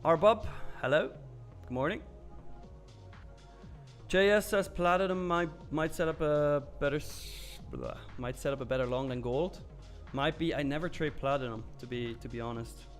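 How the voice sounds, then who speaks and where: A man hosts a live stream, answering viewers in a loose, candid way with plenty of fillers. His voice sits a little on the high side, and faint music plays softly beneath it. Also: moderate pace. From Switzerland. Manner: loose and candid, with frequent fillers and some mild swearing. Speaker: live trading stream host. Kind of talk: casual live-stream chat, reading and answering viewer comments.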